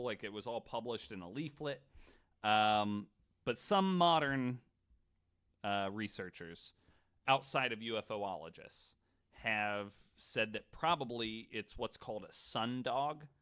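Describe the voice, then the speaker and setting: A man's voice: on the low side, animated, a little slow, a bit bright, clear, resonant tone. A man hosting a casual podcast, telling a story with plenty of swearing and "like" thrown in. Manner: swears freely; peppers talk with "like" and "right". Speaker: podcast host.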